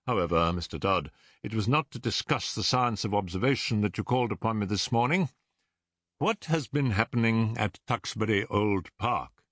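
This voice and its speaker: Brisk, commanding detective with husky voice acted by a man. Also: on the low side, a bit bright, dry recording, studio quality. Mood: incisive, commanding. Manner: crisp, incisive, condescending. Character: brisk, self-assured male detective, precise and commanding. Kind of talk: audiobook character dialogue.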